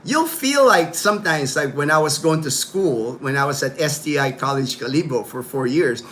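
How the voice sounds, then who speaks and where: A man's voice: on the high side, expressive, normal speed, fairly bright tone, slight background noise. A man hosting a casual livestream from his home, rambling in loose talk full of "you know", "like" and "man". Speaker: livestream host.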